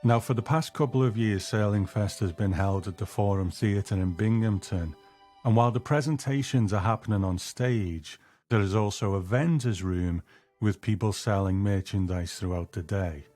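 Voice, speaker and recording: Low-voiced male host over faint music. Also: moderate pace, a little mellow. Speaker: podcast host. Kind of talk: scripted narration.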